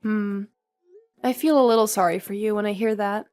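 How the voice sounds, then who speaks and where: A woman playing a patient, tired but dutiful young newlywed wife in a scripted audio drama, speaking in a measured, polite conversational way. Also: medium pitch, steady tone, a little mellow, soft background music, lightly acted. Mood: sympathetic.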